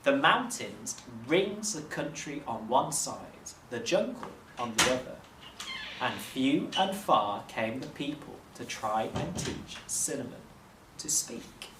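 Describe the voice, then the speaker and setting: Bloke reading a kids story aloud, dramatic measured phrasing, echoey room, faint noise. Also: male voice, medium pitch, sing-song. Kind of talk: expressive children's story narration. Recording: roomy, distant mic, faint noise.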